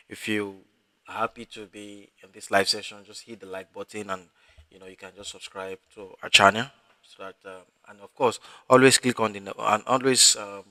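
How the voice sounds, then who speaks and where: A man teaching a live online class, talking in a halting way with many fillers and restarts. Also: on the low side, bright, forceful tone, no echo. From Africa. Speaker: instructor.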